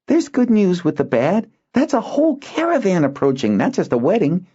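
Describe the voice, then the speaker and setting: A man voicing an excitable young boy, pitching his voice high and sounding breathless and wide-eyed. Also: normal speed, slightly rough, highs cut off, heavily acted. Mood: eager. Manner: breathless, wide-eyed, boastful about dragons. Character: eager excitable young boy on lookout duty.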